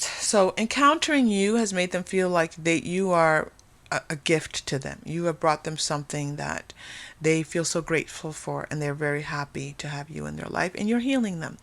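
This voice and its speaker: A woman hosting an unscripted spiritual tarot reading, speaking earnestly and emphatically to viewers, often repeating and rephrasing her phrases. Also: deep voice. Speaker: tarot reader hosting a channel.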